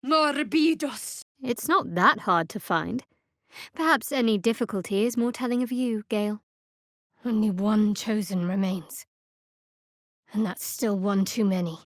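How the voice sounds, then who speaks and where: A woman playing a guarded, sardonic young cleric of a dark goddess in a video game, with a dry, wry delivery. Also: mid-range voice, measured pace, no echo, lightly acted. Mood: fierce. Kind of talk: dramatic video game voice acting. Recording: very dry close studio recording.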